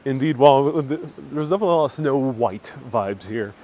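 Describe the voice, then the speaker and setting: A man's voice: mid-range voice, animated, brisk, darkish tone. A man hosting a casual, conversational travel livestream outdoors, talking in a slightly hesitant, informal way. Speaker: travel vlogger, livestream host. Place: outdoors on a castle tour. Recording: faint outdoor background noise.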